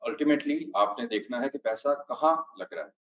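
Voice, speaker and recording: A man's voice: mid-range voice, normal speed, fairly bright tone. A man from Pakistan presenting at a business seminar, explaining in a mix of languages with frequent fillers. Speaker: immigration firm speaker.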